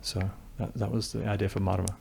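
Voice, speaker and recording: A man's voice: on the low side, flat delivery, fast, gravelly, warm tone, husky. A film director with an English accent, answering interview questions in a reflective way, thinking aloud and restarting his sentences. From New Zealand.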